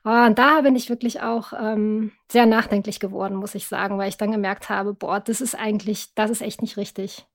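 A woman's voice: medium pitch, steady tone, a little fast, few pauses. Reflective middle-aged woman, hesitant phrasing. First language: German. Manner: reflective, hesitant, searching for words. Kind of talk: personal account in a conversational interview.

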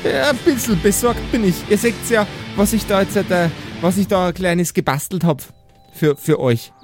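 A man's voice: high voice, moderate pace, voice pushed up. A man voicing a doting but fierce dwarf mother in an audio play, folksy and determined. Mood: fond, concerned. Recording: music behind the voice.

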